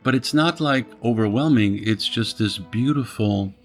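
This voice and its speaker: A man's voice: medium pitch, moderate pace, faint music behind. A middle-aged man from Poland, interviewing in a thoughtful, searching way and often restarting his phrases. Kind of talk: reflective conversation.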